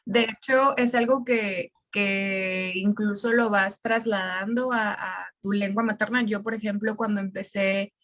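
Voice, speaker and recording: A woman's voice: medium pitch, steady tone, a little slow, few pauses, a bit bright, dry recording. Mexican woman teacher, close dry sound. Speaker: language teacher and linguist, interview guest. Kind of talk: conversational interview, explaining.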